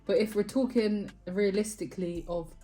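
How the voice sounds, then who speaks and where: A woman's voice: mid-range voice, steady tone, moderate pace, warm tone, faint music behind. Dry, teasing young Bristol woman. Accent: Bristol English. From Bristol, England. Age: young adult. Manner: dry, teasing, matter-of-fact. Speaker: vlogger, new mother. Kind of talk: casual couple's Q&A chat.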